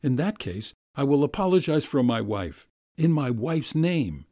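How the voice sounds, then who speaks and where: A man reading aloud voices a weak, irresolute middle-aged gentleman who speaks in a soft, subdued, ingratiating way and keeps pleading. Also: very animated, a little slow, no echo, clean studio sound. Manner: pleading, rising to high plaintive appeals.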